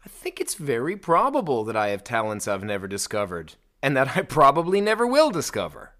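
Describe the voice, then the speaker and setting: Wry lesson speaker, lively pitch. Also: fairly quick. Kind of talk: scripted lesson answers.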